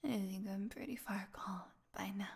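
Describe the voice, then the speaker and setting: A woman playing a starving, captive vampire in an audio roleplay, sardonic and proud but desperate, with halting, breathy speech that often falls to a whisper. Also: medium pitch, steady tone, darkish tone. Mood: weak, delirious, sardonic. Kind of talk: intimate dramatic audio roleplay.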